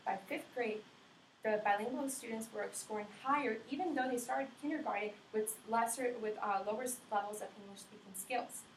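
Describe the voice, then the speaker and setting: A woman's voice: a little high, brisk. Young woman giving a class speech. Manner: earnest, frequent restarts and self-corrections. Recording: roomy classroom audio from a distance with faint noise.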